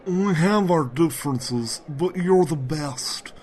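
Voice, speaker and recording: Male actor as scheming magician, slow deliberate delivery, slightly high pitch, formal wording, comedic fantasy audio drama. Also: animated, darkish tone, a little hiss or hum. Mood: smooth, formal. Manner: formal, measured, deliberate phrasing. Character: formal, calculating male magician offering a deal.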